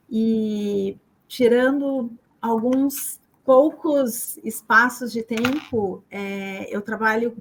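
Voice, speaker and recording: A woman's voice: on the high side, a little hiss or hum. A woman who is a chemical engineer in packaging, introducing herself in an upbeat, casual way on a panel. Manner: upbeat, self-introducing with "é" fillers.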